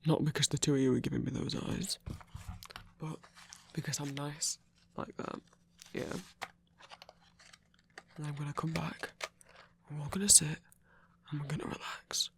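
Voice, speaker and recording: A man's voice: fairly high pitch, steady tone, moderate pace, gravelly, pauses often. A man voicing a grumpy but protective werewolf in an intimate ASMR romance roleplay, grumbling and scolding with care. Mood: grudging, tired. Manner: grumbling, scolding yet caring.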